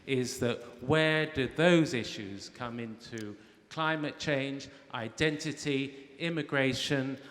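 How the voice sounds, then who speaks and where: A man's voice: mid-range voice, slow, a bit bright. A man from West Wales in the audience, putting a question to a panel with growing passion. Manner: impassioned, with rising outbursts. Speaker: audience member asking a question. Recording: roomy hall with faint ambience.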